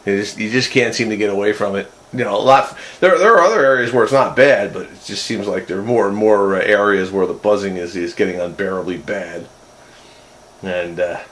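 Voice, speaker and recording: Low-voiced man in casual radio chat. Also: a little fast, a bit bright. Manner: rambling, repeats words. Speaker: host of an amateur radio roundup.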